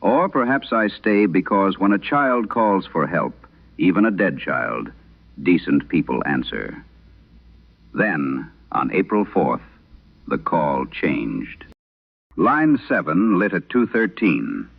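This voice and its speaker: Old radio horror narrator guy, really low n gravelly, grave storytelling, narrow muffled sound. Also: sing-song, slow. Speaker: narrator of a horror audio drama. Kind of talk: scripted first-person storytelling, vintage radio horror. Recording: muffled, band-limited vintage radio sound.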